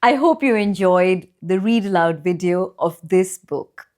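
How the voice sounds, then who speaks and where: A woman reading a children's bedtime story aloud, with expressive, deliberate phrasing and drawn-out pauses for young listeners. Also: fairly low pitch. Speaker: children's storyteller and channel host.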